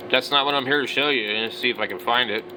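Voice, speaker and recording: A man's voice: mid-range voice, fast talker, background noise. A middle-aged man giving casual, unscripted commentary as a hobby vlogger, blunt and opinionated, with some slangy wording.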